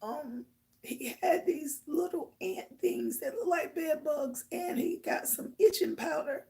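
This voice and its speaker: A woman with a hoarse, raspy voice hosts her own live chat, speaking slowly with long pauses and a high-pitched tone. A gravelly fry runs through her speech, and she rambles with plenty of fillers. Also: dark tone. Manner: rambling, filler-heavy storytelling. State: hoarse from shouting. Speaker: livestream host. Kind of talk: casual chat to viewers.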